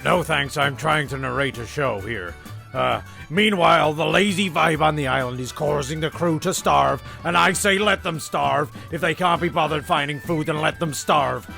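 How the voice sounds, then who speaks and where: Man, comic narrator, raspy and bright, rambling. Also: fairly high pitch, moderate pace, faint music behind. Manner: rambling, stammering asides. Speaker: narrator and creator.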